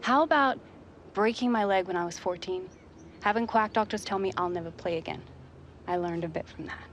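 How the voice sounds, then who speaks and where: A woman's voice: mid-range voice, brisk, a little hiss or hum, lightly acted. An actress playing a determined, blunt teenage girl footballer and younger sister in a video game drama. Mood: challenging, impassioned. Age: young adult. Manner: blunt, challenging, impassioned. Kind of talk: dramatic game cutscene dialogue. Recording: clear music behind the voice.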